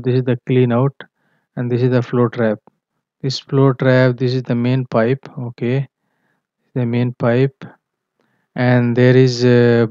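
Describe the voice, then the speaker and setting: A man explains engineering drawings in a slow, level delivery that keeps stopping for fillers and self-corrections. His tone sits on the dark, mellow side. The voice-over sounds dull and lo-fi, recorded dry with no room sound. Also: medium pitch, no room sound. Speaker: MEP engineering tutor. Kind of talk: screen-share drawing walkthrough.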